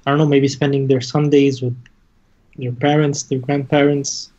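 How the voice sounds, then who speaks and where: Mellow, halting man on lo-fi audio. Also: mid-range voice, flat delivery. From Lebanon. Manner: halting, searching for words. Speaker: interview guest, musician. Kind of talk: casual conversation.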